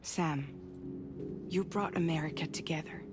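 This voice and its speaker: An actress playing a composed, earnest woman on a grave mission in a video game, speaking in a measured, serious way. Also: noisy background. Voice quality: low, creaky. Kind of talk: cinematic video game acting.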